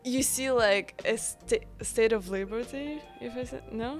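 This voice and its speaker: A woman's voice: a little high, measured pace, soft background music. Chatty Ukrainian-accented young woman. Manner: chatty, many fillers, non-native grammar. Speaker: guest, bakery founder. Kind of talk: casual interview chat.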